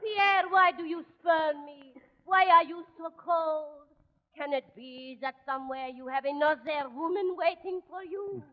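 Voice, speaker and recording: An actress playing a vain, melodramatic French femme fatale with a heavy accent and overwrought, broken English in a 1940s radio comedy. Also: female voice, sits high, measured pace, slight background noise. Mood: melodramatic passion. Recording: muffled old radio broadcast, reverberant hall.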